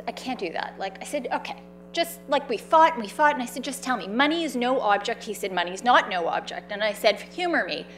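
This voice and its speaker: Woman, 30s, loud and animated storyteller, mid-pitched, faint music underneath. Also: rough recording. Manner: animated, conversational, with fillers and quoted dialogue. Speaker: speaker sharing her story. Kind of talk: personal storytelling talk. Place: event stage.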